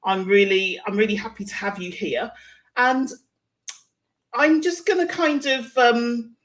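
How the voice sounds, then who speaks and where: A woman's voice: fairly high pitch, measured pace. A woman hosting a live online interview show, speaking in a chatty, effusive and encouraging way. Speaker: show host.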